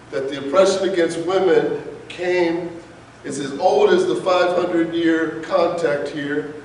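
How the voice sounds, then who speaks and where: A man's voice: fairly high pitch, a little hiss or hum. A man giving an impassioned, emphatic lecture to an audience, with frequent rhetorical questions. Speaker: guest speaker. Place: large lecture room. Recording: echoey hall recorded from far off.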